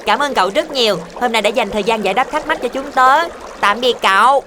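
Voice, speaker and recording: Woman doing smart polite kid hero from a cartoon, highish voice, earnest. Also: brisk, background noise, natural voice. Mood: friendly. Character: bright, polite, helpful little child superhero leader. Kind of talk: children's cartoon dubbing.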